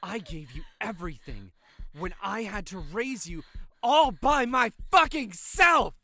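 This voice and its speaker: A man's voice: high voice, big pitch swings, a little slow, pushed and bright, full character voice. A man acting a furious, exhausted older brother in an audio drama, swearing and accusing explosively. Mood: accusing rage. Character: furious, exhausted older brother who raised his sister.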